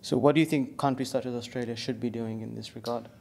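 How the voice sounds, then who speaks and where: A man's voice: mid-range voice, steady tone, quick pace, creaky, a little mellow. A man hosting an interview, asking brief, direct questions.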